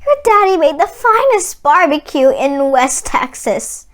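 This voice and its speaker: Woman as sorrowful widowed mother, storybook character, very high voice, swooping pitch, emotional. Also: sing-song, a little slow, fairly bright tone, heavily acted. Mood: grieving. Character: tearful widowed mother of a young boy.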